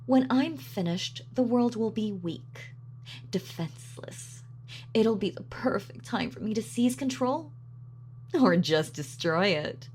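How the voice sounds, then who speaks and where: A woman's voice: medium pitch, animated, slow, a little mellow, a little hiss or hum. A woman playing a gloating, sadistic supervillain in an audio roleplay, with a mock-sweet, sardonic, taunting manner. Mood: smug, sardonic. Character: gloating female supervillain, sadistic, darkly playful. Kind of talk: villain monologue in audio roleplay.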